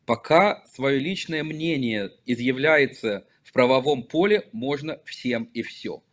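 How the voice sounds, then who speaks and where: A middle-aged man from Belarus, a local politician, answering interview questions over a video call in a measured, emphatic way with some hesitations and self-corrections. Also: medium pitch, a bit bright. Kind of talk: earnest remote interview answers. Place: office with small desk flags, indoors. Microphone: video call webcam.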